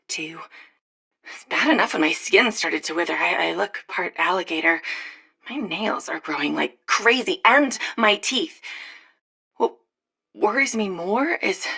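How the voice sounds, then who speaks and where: An actress playing an unhinged woman slowly turning into a monster, confessing in a jittery, halting, defensive way for a game audio log. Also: medium pitch, creaky, bright, forceful tone, slightly rough, heavily acted. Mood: uneasily calm.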